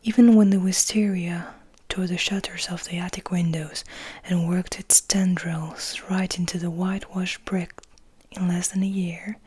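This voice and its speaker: ASMR reader: woman, lowish pitch, very breathy whisper, gentle unhurried storytelling. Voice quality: breathy, mostly unvoiced whisper. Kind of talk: soft whispered ASMR audiobook reading.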